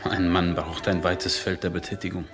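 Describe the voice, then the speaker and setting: Low-voiced, lovelorn gentleman officer. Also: level tone, normal speed, over loud music. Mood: tender, pained. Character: earnest, lovelorn gentleman officer, courteous and restrained. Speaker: radio drama actor. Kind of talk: 1970s German radio play acting.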